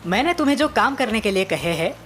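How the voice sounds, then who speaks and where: A woman's voice: on the high side, very animated, fast, fairly bright tone, faint music behind. A woman voicing a wise, patient uncle teaching a lesson in a moral story. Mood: patient, instructive.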